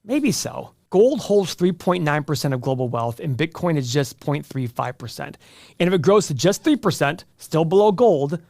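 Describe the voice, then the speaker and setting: A man's voice: a little high, very animated, moderate pace, vocal fry, a little mellow, no room sound, clean studio sound. An American man hosting an investing channel, giving opinionated finance commentary in an emphatic, argumentative way straight to the viewer.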